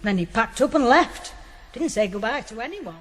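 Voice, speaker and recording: A woman's voice: fairly high pitch, animated, moderate pace, lightly acted. A woman playing a matter-of-fact, gossipy neighbour in a radio drama. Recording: long reverberant hall-like echo.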